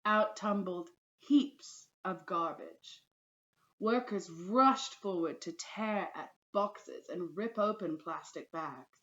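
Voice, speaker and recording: A woman teacher reading a storybook aloud to young pupils in a deliberate storytelling manner, addressing them directly. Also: fairly low pitch, muffled recording. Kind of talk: children's storybook read-aloud.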